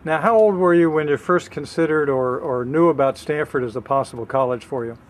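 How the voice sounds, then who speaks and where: A man interviewing a student, asking measured, polite questions outdoors. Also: a little high.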